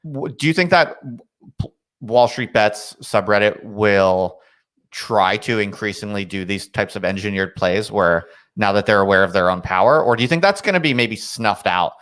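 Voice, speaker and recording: A man's voice: on the low side, lively intonation, moderate pace, fairly bright tone. A man hosting a casual live stream discussion, earnest and emphatic, repeating himself to make his point.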